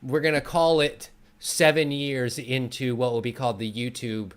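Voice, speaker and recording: A man's voice: medium pitch, steady tone, moderate pace, clear, resonant tone. A man hosting a casual conversational interview show. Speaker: host. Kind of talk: casual chat.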